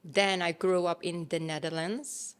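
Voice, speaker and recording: A woman in her thirties from Thailand speaks as a guest in a casual interview. Her voice sits fairly low and her delivery is slow. She talks in a relaxed way, with fillers, restarts and phrasing. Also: smooth.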